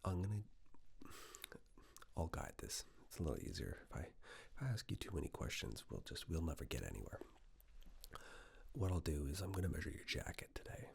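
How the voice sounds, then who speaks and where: Low, creaky suit-fitting attendant played by a man. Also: sing-song, full character voice. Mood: helpful, salesy. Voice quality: soft, low, creaky, partly whispered. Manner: polite, unhurried, hesitant pauses. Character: courteous, gently salesy male suit-fitting attendant. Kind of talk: soft-spoken ASMR roleplay.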